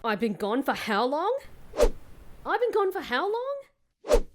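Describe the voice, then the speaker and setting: A woman playing a sarcastic, animated young heroine. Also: sits high, slow, very noisy, heavily acted. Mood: bewildered. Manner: sardonic, wry, outspoken. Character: sarcastic defiant young woman held captive by fae. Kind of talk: fantasy comedy audio drama acting.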